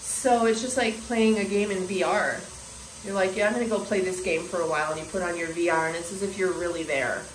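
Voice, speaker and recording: A woman's voice: fairly low pitch, fairly even intonation, a little fast. Woman in her forties, earnest spiritual musing, slightly scratchy throat, echoey bathroom, faint hiss. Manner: earnest, musing, with hand gestures and restarts. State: scratchy throat, clearing it often. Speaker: spiritual vlogger. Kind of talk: casual spiritual monologue to camera. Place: small indoor bathroom.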